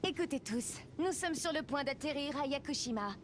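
A woman's voice: sits high, lively intonation, measured pace, noisy background. A woman voicing a poised, serious young aristocratic lady in a game cutscene, formal and composed. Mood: composed briefing. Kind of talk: video game cutscene acting.

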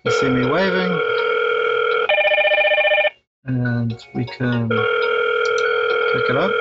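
A man's voice: mid-range voice, animated, extremely slow, muffled recording, heavy background noise. A middle-aged man giving a casual, informal step-by-step tech tutorial, sometimes hesitating and repeating himself. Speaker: tech installer presenting a how-to tutorial.

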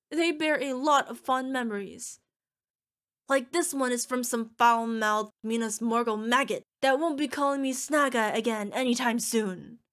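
A woman's voice: a little high, a bit bright. A woman playing an affectionate young orc girlfriend in an ASMR roleplay, emotional and playful as she talks to her partner. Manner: gushing, emotional, playful, talking to an unheard partner. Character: young orc girlfriend, fierce yet cutesy, needy, affectionate.

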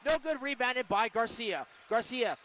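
A man calling basketball play-by-play on the radio, with excitable, punchy calls. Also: extremely high pitch, measured pace, faint music behind. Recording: arena crowd and court noise behind. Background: basketball game in an arena.

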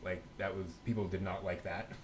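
A middle-aged man co-hosting a show in casual chat, halting as he thinks aloud. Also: sits low, level tone. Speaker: co-host of an anime news show. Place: indoors on a video call. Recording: faint background noise.

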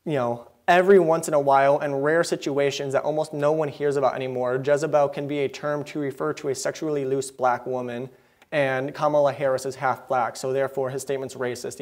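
A young man preaching a sermon from behind a pulpit into a pulpit microphone, earnest and mildly indignant, with quick asides. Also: medium pitch. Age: young adult. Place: church sanctuary, behind a wooden pulpit.

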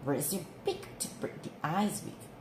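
A woman's voice: on the low side, wildly swooping pitch, moderate pace. A woman voicing a mocking, boastful storybook duck with a taunting delivery. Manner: taunting, with quacks. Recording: roomy with clear background noise.

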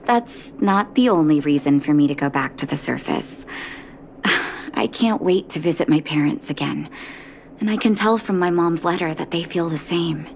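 An actress playing a gentle, caring adult doctor in a video game, speaking softly in measured, wistful lines. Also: female voice, on the low side, a little hiss or hum, lightly acted. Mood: hopeful, soft. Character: gentle adult female underground doctor, soft-spoken, caring. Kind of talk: scripted video game dialogue.

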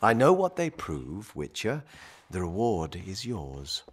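An actor playing an officious, haughty royal castellan in a video game, with a pompous bureaucratic manner. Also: male voice, low voice, huge pitch swings, slow, slight background noise, full character voice. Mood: curt, grudging. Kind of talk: video game character acting.

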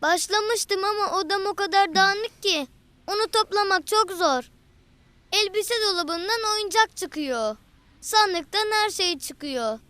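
An actor voicing an earnest, untidy little boy in a children's cartoon, with a simple, expressive childlike delivery. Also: extremely high pitch, slow, no room sound, exaggerated voice. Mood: helpless, pleading. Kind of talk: children's cartoon dialogue and storytelling.

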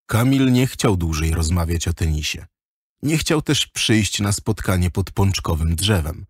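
A man's voice: sits low, lively intonation, a little slow, breathy edge, no echo, clean studio sound. A man narrating a children's audio drama in a scripted storybook style.

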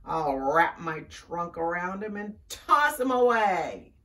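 A woman voicing a large, confident but easily scared storybook elephant, loud and emphatic, slightly away from the mic. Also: lowish voice, big pitch swings, slow, far from the mic, exaggerated voice.

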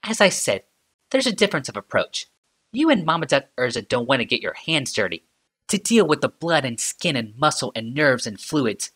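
A man voicing a sardonic female inventor in an audiobook, calm and mocking in tone. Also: medium pitch, moderate pace, pitched above the performer's own voice. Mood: musing. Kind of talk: audiobook character reading.

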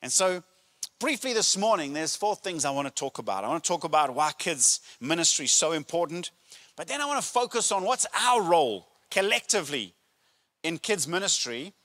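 A middle-aged man, a pastor preaching a sermon with emphatic, deliberate phrasing and pauses, speaking into a handheld microphone. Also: a little high, big pitch swings, fairly bright tone. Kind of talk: sermon to a congregation. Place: church auditorium stage, indoors.

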